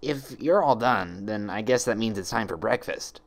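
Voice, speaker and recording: A man's voice: fairly low pitch, moderate pace, creaky. Man doing a cheeky, boyish little dragon. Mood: eager.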